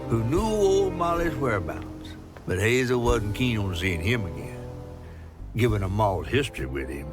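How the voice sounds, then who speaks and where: A folksy male storyteller narrates a folklore game. His measured delivery rises and falls expressively, with music clearly behind him. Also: fairly low pitch, big pitch swings. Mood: reflective storytelling. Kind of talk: scripted folktale narration.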